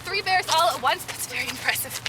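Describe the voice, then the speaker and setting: A woman's voice: sits high, lively intonation, fairly quick. A woman playing an eager, polite, talkative guide in an outdoor historical dramatization, speaking in a theatrical, exclamatory way. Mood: curious. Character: eager, nervous, talkative woman guide searching for Laura Secord. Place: outdoors in a park. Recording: clear outdoor park noise.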